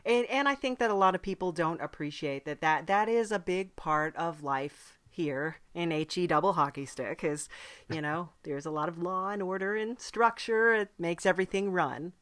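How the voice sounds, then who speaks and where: Low-voiced woman hosting, dry close sound. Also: normal speed, fairly bright tone, dry recording. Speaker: podcast host. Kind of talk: casual in-character comedy interview.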